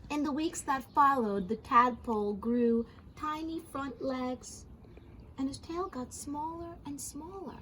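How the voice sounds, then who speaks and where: A woman with a high voice reads a children's storybook aloud. Her delivery is expressive and very unhurried, with gentle commentary for young listeners. Faint noise runs behind her voice. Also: low-quality recording. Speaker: storybook reader.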